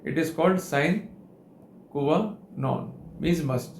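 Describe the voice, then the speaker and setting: A man with an Indian accent giving a formal instructional lecture on his own, in deliberate, measured phrases with short pauses. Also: on the high side, lively intonation, creaky, a little hiss or hum. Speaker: legal educator presenting a lecture.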